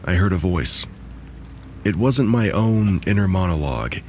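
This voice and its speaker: A man with a very low voice reads eerie first-person stories in a scripted, measured way, easing his pace whenever the suspense builds. A faint hiss sits under the recording. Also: animated. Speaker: narrator of submitted stories. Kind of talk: scripted narration of true spooky stories.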